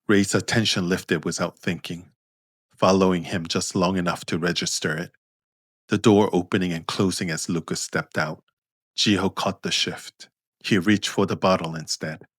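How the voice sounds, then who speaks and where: A man narrating scripted fiction in measured, deliberate phrases. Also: sits low, a bit bright, husky, no room sound, studio quality. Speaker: narrator, author reading own story.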